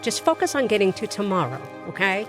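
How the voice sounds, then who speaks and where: A woman's voice: medium pitch, big pitch swings, fairly quick, music in the background, natural voice. A woman playing a streetwise, protective female vampire in an audio drama, talking informally, frankly and reassuringly.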